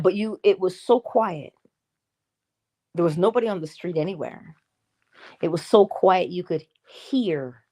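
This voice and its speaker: A woman hosting a casual morning livestream, chatty and playful, laughing often and breaking into sudden high exclamations. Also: creaky, mellow. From Barbados.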